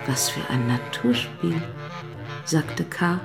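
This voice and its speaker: Eine Frau erzählt als Sprecherin eines literarischen Hörspiels, gemessen und bedacht. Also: female voice, low-pitched, takes it slowly, creaky, soft background music, heavily acted. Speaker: narrator. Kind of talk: literary narration in a radio play.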